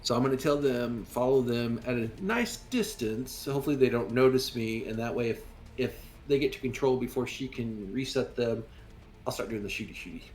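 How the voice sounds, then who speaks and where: A man's voice: medium pitch, fairly quick, a little mellow. A man chatting casually as a player in a tabletop roleplaying game. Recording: music behind the voice. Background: music.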